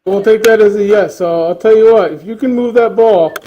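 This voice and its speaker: A man on a ghost hunt who talks loudly and jokes, calling out to spirits. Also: high-pitched, brisk, dull audio, slight background noise. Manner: loud, joking, calls out to spirits. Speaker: paranormal investigator. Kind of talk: casual ghost-hunt chatter.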